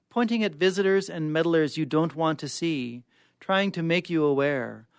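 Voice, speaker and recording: A man with a clear, smooth voice giving a dramatic reading of short stories as a guest reader. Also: a little high, dry recording, studio quality. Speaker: guest reader of short fiction on a radio show.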